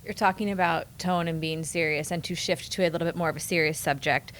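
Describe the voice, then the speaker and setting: An American woman hosting a podcast, setting up interview questions in a measured, conversational way. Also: low-pitched, fairly even intonation, fairly bright tone, slight background noise. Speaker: podcast host.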